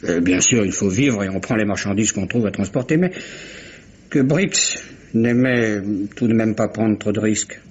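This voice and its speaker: An actor playing a blustering, evasive shipowner, talkative and defensive, in a vintage French radio mystery. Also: male voice, lowish voice, normal speed, darkish tone, husky. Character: blustering, evasive American male shipowner under questioning. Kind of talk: 1950s-style French radio mystery acting. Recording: dull vintage radio recording, faint noise.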